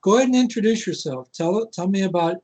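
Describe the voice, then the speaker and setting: A man's voice: sits high, expressive, quick pace, a little mellow. A man hosting a casual interview, encouraging and agreeable with his guest. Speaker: podcast host.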